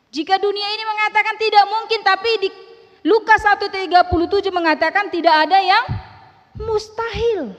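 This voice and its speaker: A woman preaches in a high, bright voice, pressing her points with questions to the congregation. The sound rings with the long reverb of a church hall. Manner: emphatic, rhetorical questions to congregation. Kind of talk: sermon.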